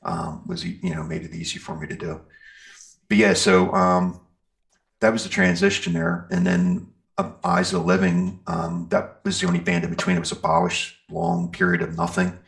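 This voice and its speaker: A man's voice: low voice, big pitch swings, moderate pace, gravelly, fairly bright tone. A middle-aged American man chatting casually in an interview as a musician, rambling a little and searching for words.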